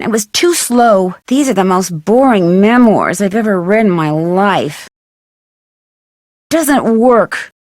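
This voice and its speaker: A woman's voice: on the high side, dry recording, heavily acted. Young female sleuth, video game heroine, curious, plucky, swooping intonation, close dry sound, inquisitive self-talk. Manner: inquisitive, musing to herself, crisply expressive. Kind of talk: video game voice acting, thinking aloud.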